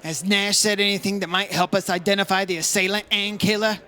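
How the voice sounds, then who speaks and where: A man acts out a brisk insurance investigator from an old radio detective play. The voice is high and bright, with clipped, businesslike delivery in both narration and questioning. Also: flat delivery. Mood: concerned, probing. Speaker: actor playing the lead investigator. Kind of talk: 1950s radio detective acting, scripted narration.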